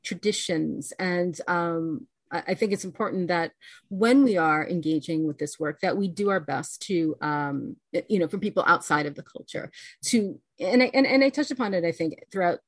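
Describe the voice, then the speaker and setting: American woman guest, lowish voice, dry close sound, earnest, keeps restarting. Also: normal speed, no room sound. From United States. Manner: thoughtful, earnest, many restarts. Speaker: author and guest. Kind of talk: podcast interview.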